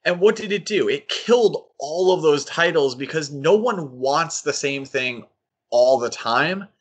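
A man's voice: fairly high pitch, expressive, measured pace, breathy edge. A man co-hosting a podcast, giving critical opinions in a casual discussion of comics. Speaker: podcast co-host.

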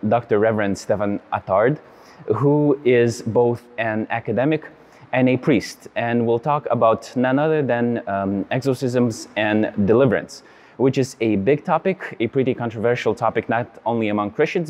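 A young man from Lithuania, a native Lithuanian speaker, hosting an interview in English with many pauses and fillers, seated in a large church. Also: mid-range voice, a little mellow. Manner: friendly, hesitant with many fillers. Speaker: host. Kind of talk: interview host intro and questions.

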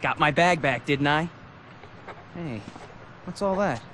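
An actor playing a brash, eager young skater with slangy talk in a video game. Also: male voice, a little high, takes it slowly. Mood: eager, impressed. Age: young adult. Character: cocky, eager young small-town skater guy. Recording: loud vehicle and street noise behind.

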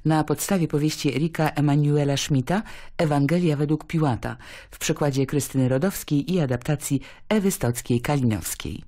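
A woman's voice: deep voice, normal speed, warm tone. A woman reading a scripted introduction to a radio drama programme as announcer. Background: faint music.

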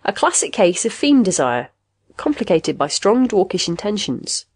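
A robot therapist voiced by a woman reader for an audio story, earnest and confident. It lectures in formal clinical language, soothing yet pedantic, with a lively rise and fall. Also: mid-range voice, normal speed, husky.

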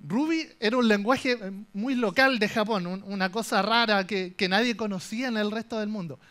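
A young Chilean man with a fairly high voice gives a conference talk from the stage. He jokes his way through the story, lively and playful, with a Chilean accent throughout. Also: normal speed. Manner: animated, joking storyteller. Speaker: conference speaker. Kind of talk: humorous tech talk.

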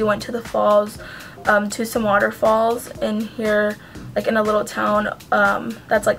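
A woman's voice: medium pitch, a bit bright. A young woman doing a makeup tutorial at home, chatting casually with lots of ums and likes and giving earnest advice. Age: young adult. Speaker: beauty vlogger. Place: small home room with shelves, indoors. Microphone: camera at close range.

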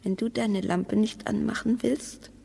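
A very breathy, doubting wife in a radio drama, speaking hesitantly in a fairly high voice. The sound carries a long, hollow reverberation. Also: female voice, steady tone, unhurried, dark tone, full character voice. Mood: doubtful, suspicious. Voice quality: breathy, often whispery. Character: wary, doubting wife exploring underground with her husband. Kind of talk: German radio play acting.

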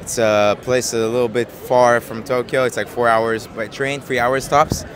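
A man in his twenties from Japan, answering street interview questions in a soft, hesitant way with many fillers and easy laughs, into a handheld mic outdoors. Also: medium pitch, steady tone, moderate pace. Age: 20s. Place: busy city square outdoors. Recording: busy street crowd behind.

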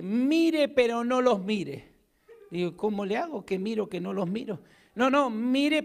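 A middle-aged man with an Argentine accent preaches from a pulpit in a church hall. His voice sits high for a man. He speaks into a handheld mic and swings between emphatic calls for an amen and hushed, quiet asides. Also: creaky. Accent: Argentine Spanish. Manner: emphatic preaching, rising loud to call for amens and dropping to a hush. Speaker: pastor. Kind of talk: sermon. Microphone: handheld mic at a pulpit, far camera.

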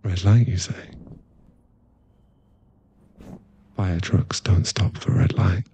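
A man acting as an affectionate werewolf boyfriend in a close ASMR roleplay. The voice is low and gravelly, very mellow and relaxed, with soft, coaxing, halting phrases. It sits dry and close to the mic. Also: a little hiss or hum. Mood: playful, teasing. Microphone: close microphone.

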